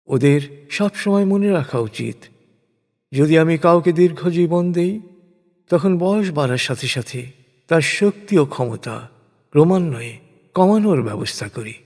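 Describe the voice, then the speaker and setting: Male narrator for sacred text: slightly high pitch, very unhurried, solemn and declamatory, reverberant hall sound. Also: a little mellow. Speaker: reciter of a scripture translation. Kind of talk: solemn scripted religious narration.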